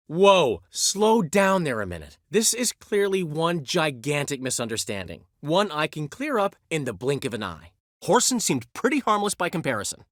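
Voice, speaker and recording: A man's voice: a little high, a bit bright, no room sound, clean studio sound, heavily acted. An actor playing a flamboyant, vain and witty middle-aged male bard in a video game, teasing and theatrical with a showman's flourish. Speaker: game character. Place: recording studio. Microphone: close studio mic.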